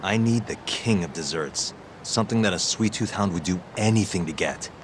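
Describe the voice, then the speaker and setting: An earnest young private detective, voiced by a male actor in a video game cutscene. His fairly low voice is dry and casual, with an exasperated edge. Clear noise sits behind him. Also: moderate pace, lightly acted. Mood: casual, determined.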